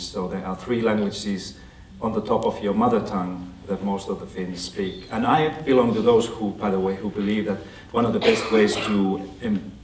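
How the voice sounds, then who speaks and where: A man's voice: lowish voice, a little mellow, slight background noise. A man from Finland with a Finnish accent, speaking English as a guest speaker who gives a conversational talk and asks the audience questions. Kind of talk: lecture presentation to an audience. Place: lecture hall. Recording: echoey hall, recorded from a distance.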